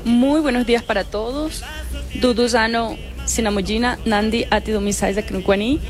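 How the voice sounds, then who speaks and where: A woman artisan whose first language is Arhuaco, speaking in a measured way with frequent fillers as a radio guest. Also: on the high side, fairly even intonation, faint music behind. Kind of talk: radio interview.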